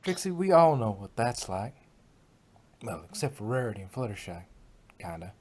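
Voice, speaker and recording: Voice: mid-range voice, big pitch swings, moderate pace, darkish tone, slightly rough, pitched below the performer's own voice. A performer voicing a friendly, plainspoken female country farm pony with a folksy, reassuring way of talking. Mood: sympathetic.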